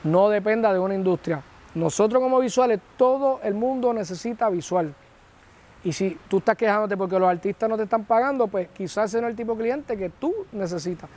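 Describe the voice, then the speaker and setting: A man's voice: high voice, darkish tone. A man in his thirties giving direct, coaching advice to camera outdoors on a lapel mic. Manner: direct, coaching, speaks fast in bursts. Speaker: filmmaking teacher. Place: outdoors by palm trees.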